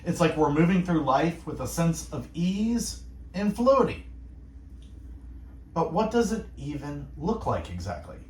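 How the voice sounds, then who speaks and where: Calm radio guy, soft and meditative, voice swings up and down a lot, echoey room. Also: fairly high pitch, unhurried. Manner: calm, soothing, meditative, musing aloud. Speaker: radio host. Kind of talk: gentle devotional radio talk.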